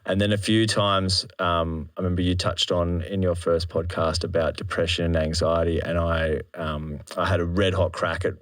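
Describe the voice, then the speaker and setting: The low-pitched Aussie bloke at the pub table who swears through his stories and acts out every thought. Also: normal speed, hardly any pauses. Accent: Australian. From Australia. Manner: animated retelling, slangy and sweary, acting out thoughts and sounds. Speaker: interview guest, drum and bass MC. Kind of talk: casual anecdotal chat.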